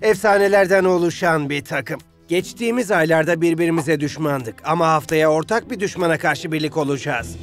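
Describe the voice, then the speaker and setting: An actor playing a smug, showy young sports captain in a cartoon dub, speechifying with self-assurance. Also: male voice, fairly high pitch, fairly quick, few pauses, soft background music, close to an everyday voice. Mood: smug, rallying.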